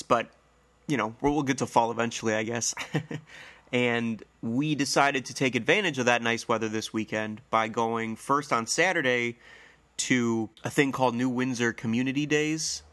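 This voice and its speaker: A man in his thirties hosts a casual solo podcast with a congested, slightly nasal and hoarse voice. His tone is bright, and he tells stories in a rambling, friendly way with plenty of fillers. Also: medium pitch. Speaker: podcast host.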